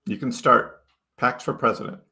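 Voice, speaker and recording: A man's voice: medium pitch, steady tone, normal speed, pushed and bright. A man from Chicago hosting a crypto livestream, chatting casually and greeting viewers by name. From Chicago, United States. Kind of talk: casual live chat reading viewer comments.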